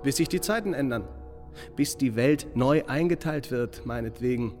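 An actor playing an evasive, self-satisfied small-town mayor in a radio drama, oratorical and blandly bureaucratic. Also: male voice, medium pitch, normal speed, darkish tone, close to an everyday voice. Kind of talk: German radio drama acting. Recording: long hall reverb, music underneath.

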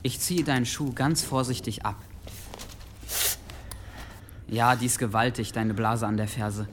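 An actor playing an eager, earnest young monk who explains things carefully in a radio drama. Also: male voice, medium pitch, steady tone, normal speed, slight background noise, lightly acted. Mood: careful, reassuring.

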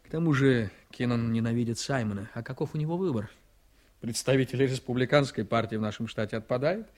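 A man's voice: medium pitch, quick pace, lightly acted. An actor playing an ambitious, jovial, scheming district attorney in a radio drama, theatrical and exclamatory, repeating his phrases. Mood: calculating. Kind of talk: Soviet-style radio drama acting.

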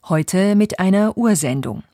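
A woman presenting a radio drama with a scripted, measured, composed introduction. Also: medium pitch, expressive, warm tone, no echo, studio quality.

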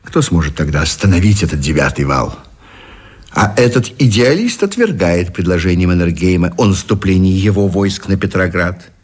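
An actor in a Russian radio drama playing a cynical, domineering middle-aged general with a sardonic, declamatory manner. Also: male voice, deep voice, lively intonation, normal speed, husky. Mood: sardonic, pressing.

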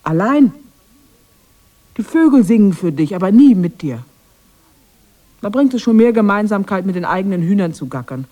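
A woman's voice: mid-range voice, big pitch swings, normal speed, pauses often, dark tone, lightly acted. An actress playing a lonely, misunderstood witch of the woods in a radio drama, defending herself in a plaintive way. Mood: wistful, self-justifying. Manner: plaintive, self-justifying.

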